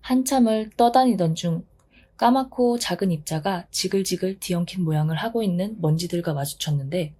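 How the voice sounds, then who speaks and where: Female storyteller, mellow tone, gentle even read-aloud pacing. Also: on the low side, normal speed, slightly rough. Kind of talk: storybook narration.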